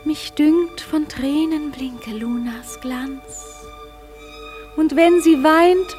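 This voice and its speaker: A woman's voice: sits high, darkish tone. A regal, tender fairy queen. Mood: dreamy. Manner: slow, lyrical verse, at times whispered. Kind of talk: classic German radio play acting. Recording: violin music under the voice. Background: violin music.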